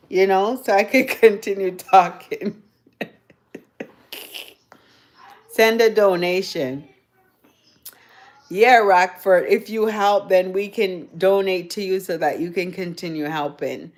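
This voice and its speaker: A woman from Jamaica with a Jamaican accent, chatting casually with viewers on her livestream, rambling and laughing often. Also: medium pitch. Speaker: livestream host. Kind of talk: casual live chat with viewers.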